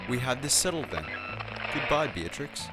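A man's voice: mid-range voice, sing-song, moderate pace, lightly acted, rough recording. Guy playing a nerdy formal scientist in a radio play, wordy and awkwardly eager, music behind him. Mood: pleased. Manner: stiff, verbose, over-precise phrasing. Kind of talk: amateur radio play acting.

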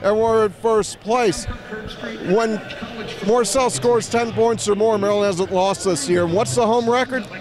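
A middle-aged man hosts casual postgame sports talk. His voice sits high for a man, picked up on a handheld mic inside a large arena. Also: steady tone, unhurried, a crowd in the background. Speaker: postgame show host. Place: on the court of a large indoor arena. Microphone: handheld microphone.

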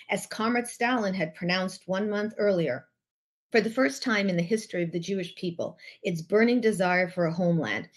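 A woman born in the former Soviet Union reads her own novel aloud from a small room at home. Her voice sits a little low for a woman, and she keeps a measured, careful pace, now and then stopping to correct a word. The sound comes through a laptop microphone on a video call. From Odessa, then USSR. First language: Russian. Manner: measured reading with occasional self-corrections. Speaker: novelist reading her book. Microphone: laptop webcam mic on video call.

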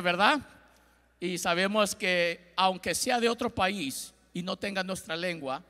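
A man's voice: sits high, creaky, bright, forceful tone. A middle-aged man from Ecuador preaching a sermon on a large church stage into a handheld mic, calling for responses from the congregation. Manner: preaching with call-and-response, gesturing and pacing the stage. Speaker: pastor. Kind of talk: Spanish-language church sermon. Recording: long hall reverb.

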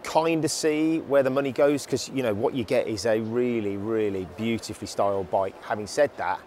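A man's voice: medium pitch, normal speed, a little mellow, a little hiss or hum. Chatty man at a bike show. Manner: chatty, enthusiastic, frequent restarts. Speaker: motorcycle show presenter. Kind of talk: casual review chat. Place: indoor motorcycle exhibition hall.

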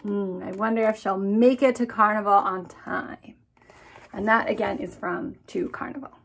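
A woman's voice: mid-range voice, fairly even intonation, normal speed, vocal fry. A woman in her thirties, a children's author, reading picture books aloud expressively and speaking directly to young listeners. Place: small indoor room with bookshelves.

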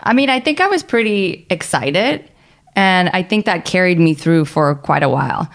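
Chatty woman from Bulgaria, low voice. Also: animated, normal speed, creaky. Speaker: guest filmmaker. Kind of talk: casual interview chat.

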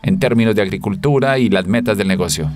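Male simultaneous interpreter, lowish voice, halting delivery with restarts and self-corrections. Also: a crowd in the background. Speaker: conference interpreter. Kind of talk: simultaneous interpretation of a technical conference talk.

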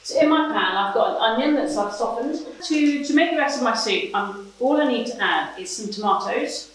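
A woman's voice: fairly high pitch, expressive, moderate pace. British woman demonstrating cookery in echoey kitchen. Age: middle-aged. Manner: practical, chatty, instructive. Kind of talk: informal cooking demonstration, explaining steps. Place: medium-sized domestic-style kitchen, indoors. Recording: echoey kitchen, distant camera mic, background noise.

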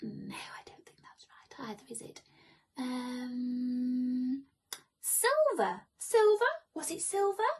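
A young woman performs as a children's storyteller. Her voice is high and very animated, yet unhurried, with a soft husky edge and theatrical asides to the listener. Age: young adult. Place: small room at home.

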